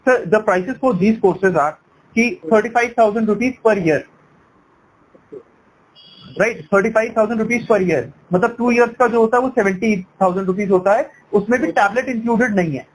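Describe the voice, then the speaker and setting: A man's voice: sits high, normal speed. A man working as an education sales counselor, explaining persuasively on a call, mixing Hindi and English and checking in with tag questions. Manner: switches between Hindi and English. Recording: noisy sales call recording.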